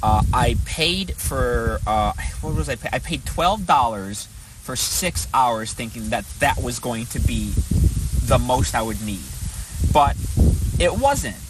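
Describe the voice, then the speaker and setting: Male vlogger, conversational and rambling, profane and griping, mid-range voice, noticeable noise behind him. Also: mid-range voice. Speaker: vlogger recounting a protest he attended. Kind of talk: casual unscripted storytelling to camera.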